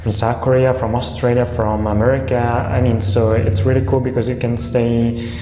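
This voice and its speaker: A man's voice: mid-range voice, little pitch movement, moderate pace, warm tone, faint music behind. A young Italian man talking casually to camera about tutoring, with many hesitant fillers. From Italy. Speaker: online language tutor. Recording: echoey room with long reverb.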